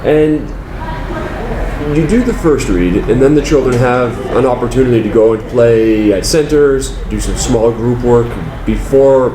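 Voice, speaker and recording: A man's voice: mid-range voice, a little slow. A man asking interview questions in a casual, somewhat hesitant and tentative way. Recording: echoey room, clear background hum.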